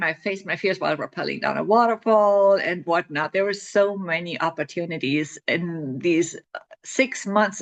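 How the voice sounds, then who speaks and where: A woman's voice: lowish voice, a bit bright. A middle-aged woman author telling stories about her own life in a chatty way. She laughs often and restarts her sentences with repeated words. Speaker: author promoting her book. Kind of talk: casual spoken storytelling to camera.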